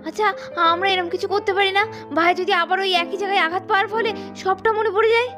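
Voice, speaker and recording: A woman's voice: very high-pitched, steady tone, fast talker, bright, forceful tone, soft background music. A woman voicing emotional, emphatic young women in a melodramatic doll cartoon. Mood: anxious.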